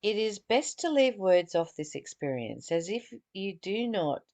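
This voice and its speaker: Woman reading a spiritual text out loud, really slow, bit of fry in the voice, earnest, kinda stumbles over phrases. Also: on the low side. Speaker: spiritual teacher leading a book study. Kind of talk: reading aloud with spoken commentary.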